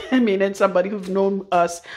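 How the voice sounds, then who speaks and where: A middle-aged Trinidadian woman giving a frank, forceful hair-care talk as a loctician in her salon. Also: mid-range voice, moderate pace. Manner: blunt, forceful, frank, swears freely. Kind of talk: casual hair-care tutorial.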